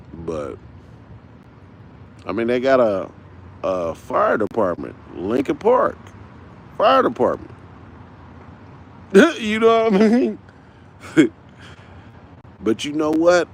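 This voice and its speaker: Unwell man of fifty, livestream host, loose slangy ramble, unhurried with a lively pitch. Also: vocal fry, a little hiss or hum. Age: 50s. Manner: rambling, street slang, repeats himself. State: unwell, tired. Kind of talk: casual rambling livestream talk.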